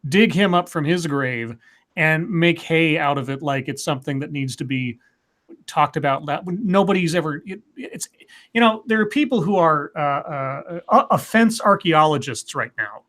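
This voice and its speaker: A man's voice: fairly high pitch, animated, normal speed, a bit bright. A man co-hosting a casual livestream chat, rambling and joking with frequent stammers. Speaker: co-host.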